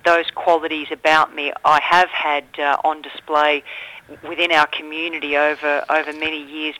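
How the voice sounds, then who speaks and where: A middle-aged Australian woman, a political candidate, answering questions in an earnest, measured way with frequent ums. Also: low voice, pushed and bright, no room sound, rough recording. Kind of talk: radio phone interview.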